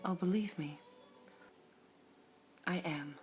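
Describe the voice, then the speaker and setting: A woman voicing a serene all-seeing female gem in an ASMR roleplay, whispering softly in a measured, formal way. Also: on the low side, soft background music. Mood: calm whispering.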